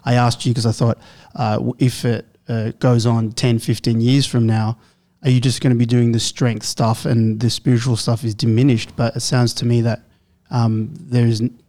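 Flat, mellow-voiced male interviewer. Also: medium pitch, normal speed. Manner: rambling, thinking aloud. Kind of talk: conversational interview question.

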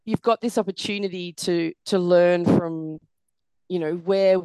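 Middle-aged woman guest, croaky and tired, earnest and reflective, lowish voice. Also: fairly even intonation, unhurried, darkish tone, no echo, low-quality recording. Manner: earnest, many "you know" fillers. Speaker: podcast guest. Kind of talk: reflective interview conversation.

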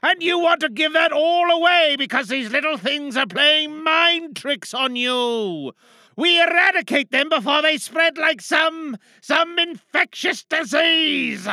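A stern female space captain played by an amateur actress, gripped by paranoia. Her high voice is piercing and forceful as she commands and pleads in slow, weighty phrases, like a desperate leader in an audio drama monologue. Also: animated, no room sound, heavily acted. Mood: alarmed, commanding. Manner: pleading, commanding, theatrical outbursts.

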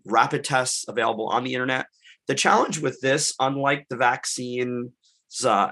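A man's voice: mid-range voice, bright, forceful tone. A man who is congested from illness, talking through a panel discussion in a rambling way, with many fillers and restarts. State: congested, getting over illness. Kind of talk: conversational panel talk.

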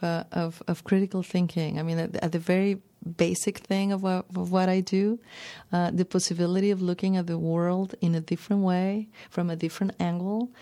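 Low, gravelly-voiced woman. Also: mellow. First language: Spanish. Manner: thoughtful, hesitant, many restarts and fillers. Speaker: writer, interview guest. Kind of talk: reflective interview talk.

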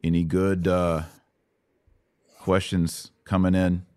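Low-voiced man teaching the Bible. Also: level tone, slow pace, a little mellow, clear, resonant tone. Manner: didactic, asks checking questions. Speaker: podcast host teaching the Bible. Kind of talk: live Bible Q&A, conversational teaching.

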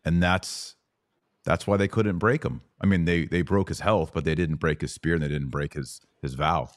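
A man's voice: sits low, moderate pace, no echo, studio quality. A man hosting a podcast in a conversational interview style. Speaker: podcast host.

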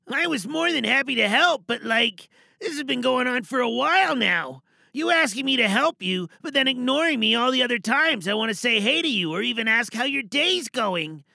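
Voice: mid-range voice, gravelly, pushed and bright, dry recording, pitched above the performer's own voice, exaggerated voice. A performer voicing a hurt, neglected student leaving an earnest, hesitant message in a dramatic monologue.

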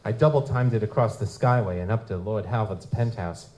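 A man as a world-weary, mellow noir private eye. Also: lowish voice, a little fast, natural voice. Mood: wry. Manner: wry, slangy, hard-boiled. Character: world-weary male lutin private investigator, gruff and cynical. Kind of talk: noir detective narration in live audio drama. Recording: live convention performance, clear background noise.

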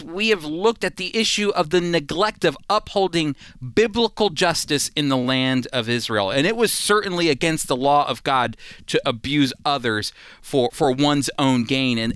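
Earnest radio bible guy, kinda high voice, dry studio sound, leans hard on points. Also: fairly bright tone, smooth, dry recording. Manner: earnest, emphatic teaching with fillers and restarts. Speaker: radio Bible teacher, co-host.

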